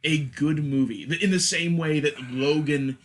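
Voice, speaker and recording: A man's voice: on the high side, a little slow. A man hosting a casual film chat, with a wry, joking manner. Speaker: podcast host.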